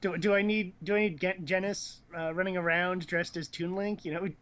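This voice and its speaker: A man's voice: high voice, steady tone, fairly quick, fairly bright tone. A man hosting a casual gaming chat, rambling and thinking aloud with blunt opinions. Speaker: host of a gaming news show.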